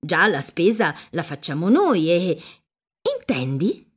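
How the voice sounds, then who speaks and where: A woman's voice: on the low side, sing-song, takes it slowly, breathy edge, exaggerated voice. A woman voicing an anxious, loving older peasant mother who talks earnestly and at length. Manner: earnest, fussing, talkative. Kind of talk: audiobook character reading.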